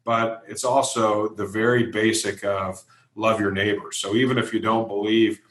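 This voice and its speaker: A man's voice: fairly low pitch, fairly even intonation, normal speed. An American man giving conversational interview answers as a guest, earnest, emphatic and plain-spoken. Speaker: interview guest, events company executive. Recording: echoey room sound.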